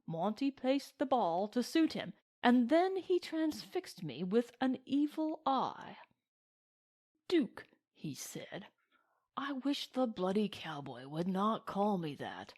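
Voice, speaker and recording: A woman reading a storybook and voicing an excitable, indignant English gentleman with exclamatory, proper turns of phrase. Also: medium pitch, takes it slowly. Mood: flustered indignation. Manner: exclamatory, proper British slang. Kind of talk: storybook character voice in narration.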